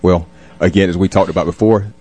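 A man's voice: deep voice, steady tone, brisk, darkish tone. A middle-aged man chatting as an interview guest, earnest and agreeable. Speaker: interview guest, police captain. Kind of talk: casual interview chat.

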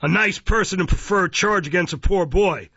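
A pompous, bullying magistrate from a classic novel , acted out by a male reader, barks in a raised, gravelly voice. He is contemptuous and peremptory, with a husky edge, on a dull recording. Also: fairly high pitch, animated, measured pace, sharp tone, no echo, voice pushed up, full character voice. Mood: pompous rebuke. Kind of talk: dramatised audiobook reading of a classic novel.

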